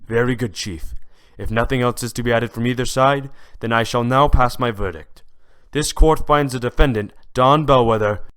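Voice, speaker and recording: A man playing a solemn, authoritative judge with formal, measured delivery in an amateur audio drama. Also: medium pitch, fairly bright tone, smooth, no room sound, lightly acted.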